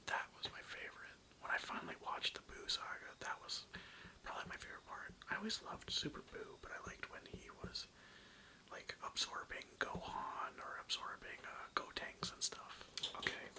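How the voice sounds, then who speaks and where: An ASMR creator whispering in a breathy, unvoiced voice, rambling informally through a casual show-and-tell with some swearing. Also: medium pitch.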